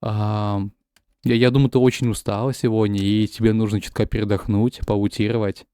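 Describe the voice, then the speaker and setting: A man's voice: lowish voice, little pitch movement, brisk, warm tone, clean tone, no room sound. A man whose first language is Russian, chatting casually while streaming a game, often stumbling and repeating words. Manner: switches between English and Russian. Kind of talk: casual gaming stream chat.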